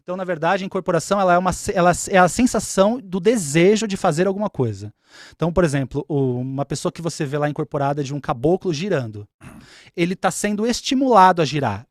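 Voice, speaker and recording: A man's voice: high-pitched, lively intonation, quick pace, dry recording, studio quality. A man speaking as a guest on a podcast, explaining his spiritual practice patiently and repeating key points. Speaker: umbanda spiritual leader and guest.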